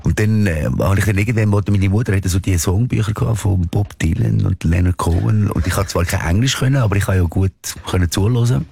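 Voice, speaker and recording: A male musician with a Swiss German accent, speaking haltingly and searching for words as an interview guest. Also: sits low, creaky, a little mellow, breathy edge, dry recording. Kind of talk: casual interview conversation.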